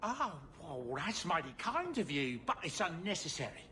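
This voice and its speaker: Lively, kindly aging dwarf merchant. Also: male voice, on the high side, moderate pace, slight background noise. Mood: fond, apologetic. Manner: polite, hesitant, folksy. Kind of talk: video game character acting.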